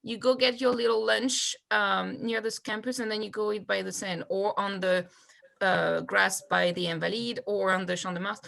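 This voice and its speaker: A woman from France co-hosting a casual panel chat over a video call, talking in an expressive, animated way. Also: medium pitch, normal speed, gravelly. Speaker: co-host.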